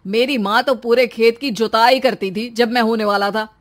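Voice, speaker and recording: A woman voicing a whiny, self-pitying young husband in animated story dialogue, complaining dramatically. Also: a little high, moderate pace, natural voice. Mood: dismissive.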